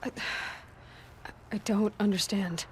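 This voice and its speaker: A woman's voice: medium pitch, fairly even intonation, slow, darkish tone, a little hiss or hum, lightly acted. An actress playing a young female hunter in a video game, questioning in a terse, intense way. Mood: troubled, questioning. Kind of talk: cinematic video game acting.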